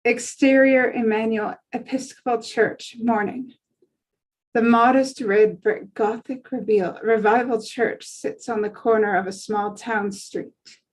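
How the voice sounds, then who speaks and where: A woman's voice: on the high side, fairly even intonation, measured pace, a little hiss or hum, rough recording. A woman reading screenplay scene descriptions aloud as a narrator at a table read.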